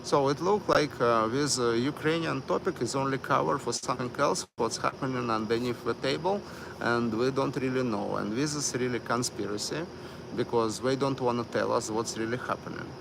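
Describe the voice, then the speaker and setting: A man hosting a live stream, talking slowly with many fillers and broken grammar. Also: medium pitch, vocal fry, noisy background. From United States. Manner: hesitant, many fillers, non-native grammar. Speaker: livestream host.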